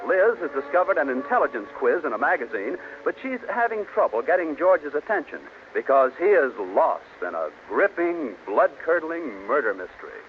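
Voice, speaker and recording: A male American announcer from old-time radio, reading scripted narration in a high, animated voice. Music plays behind him, and the recording is thin and phone-like. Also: normal speed, few pauses, slight background noise.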